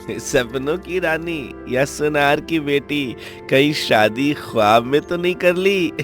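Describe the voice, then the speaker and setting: A man's voice: on the high side, slow pace, a bit bright, soft background music, pitched above the performer's own voice. A man voicing a teasing, cautioning young village pal in a Hindi cartoon story. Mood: teasing, amused. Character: Sundar, teasing, cautioning village friend of the blacksmith.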